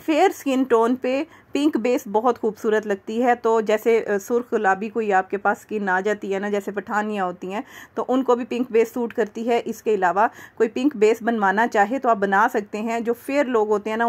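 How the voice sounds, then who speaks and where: Higher-voiced Pakistani woman giving a tutorial. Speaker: makeup tutorial host. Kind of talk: instructional demo voice-over, conversational.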